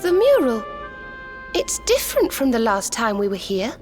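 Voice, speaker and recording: An actress voicing a gentle, thoughtful young adventurer, polite and hesitant, in a video game. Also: female voice, on the high side, wildly swooping pitch, normal speed. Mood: wondering. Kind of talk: video game cutscene acting. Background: background music.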